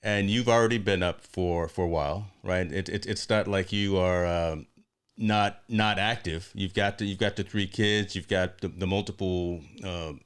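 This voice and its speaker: A man's voice: deep voice, steady tone, normal speed, clean tone. A male host running a casual livestream interview over a video call, upbeat, repeating words and tagging on "right?".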